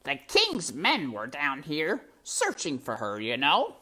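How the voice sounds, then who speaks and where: A woman's voice: low voice, wildly swooping pitch, sharp tone, voice pushed up, full character voice. A woman voicing a sly, scheming male rat villain who mocks in a silky, theatrical way. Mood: sly mocking. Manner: silky, mocking, drawn-out and theatrical.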